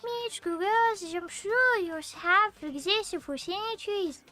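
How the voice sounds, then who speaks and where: Role: a very high, bright-voiced magic teacher in a put-on Scottish accent, read by a woman streamer. Formal, slow lecture delivery. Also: faint music behind, heavily acted. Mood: lecturing. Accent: mock Scottish. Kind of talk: reading a visual novel aloud.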